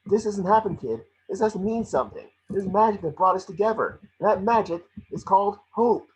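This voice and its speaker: Guy doing a raspy little wisecracking mouse detective, cocky patter, voice jumps all over. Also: high-pitched, expressive, heavily acted, poor audio. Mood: pleading. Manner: fast-talking wisecracking patter. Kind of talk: animated script table read.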